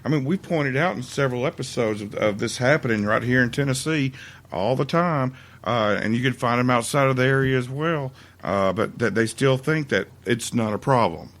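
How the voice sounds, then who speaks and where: A man hosting a podcast, talking in a halting way with lots of uhs. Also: mid-range voice, a bit bright. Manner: halting, many fillers. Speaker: podcast host. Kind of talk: casual podcast chat.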